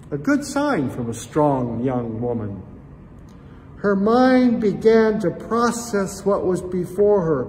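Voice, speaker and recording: Older guy preaching slow in a church, high voice, long echo off the walls. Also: darkish tone. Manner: reflective, addresses listeners as friends, gestures with both hands. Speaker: pastor. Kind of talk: sermon. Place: church sanctuary, indoors. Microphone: camera at chest height, seated facing it. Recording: long church reverb, faint room noise.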